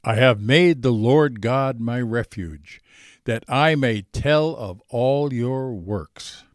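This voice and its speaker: A man reading a psalm from the Bible aloud in an expressive, dramatic style. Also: mid-range voice, slow pace, no echo, studio quality. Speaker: scripture reader.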